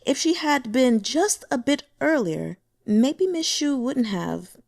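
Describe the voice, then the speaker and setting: A woman narrating a translated fantasy novel with expressive storytelling. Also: takes it slowly, gravelly, dry recording, clean studio sound. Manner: expressive storytelling, pitch moving with the scene. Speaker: audiobook narrator.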